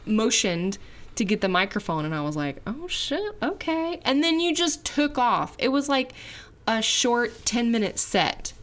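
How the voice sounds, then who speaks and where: A woman's voice: a little high, very animated, unhurried, a bit bright. Chatty teasing woman in her thirties. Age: 30s. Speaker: podcast co-host. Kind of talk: casual couple chat.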